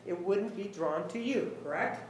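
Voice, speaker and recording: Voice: mid-range voice, normal speed. A lawyer questions a witness in an echoing courtroom, with faint noise behind. The voice sits in a middle register. The questions are pointed and leading, often closed with a short tag demanding agreement. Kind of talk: courtroom cross-examination. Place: large indoor courtroom.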